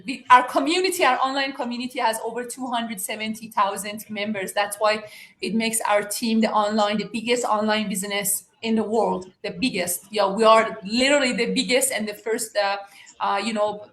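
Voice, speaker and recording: Woman, Persian accent, guest coach, raised pitch, halting motivational talk, strong room echo. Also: fairly even intonation, fairly bright tone. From Iran. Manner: humble, halting with repeated words. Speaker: guest speaker, business coach. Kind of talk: informal motivational talk on a livestream. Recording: echoey room on livestream.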